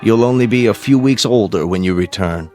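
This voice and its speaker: An American actor playing a grave, paternal space-program scientist in a radio drama, speaking formally, deliberately and with emphasis. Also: male voice, on the low side, darkish tone, lightly acted. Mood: candid, fatherly. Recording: music behind the voice.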